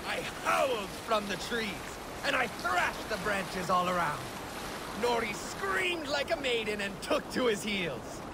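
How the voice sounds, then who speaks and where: Slow-spinning, animated Viking storyteller. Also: male voice, high-pitched, exaggerated voice. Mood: mock menace. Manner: earthy, teasing, dramatic pauses. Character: boastful male Viking crewman spinning boyhood yarns. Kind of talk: leisurely storytelling in a video game. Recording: noisy boat and water ambience.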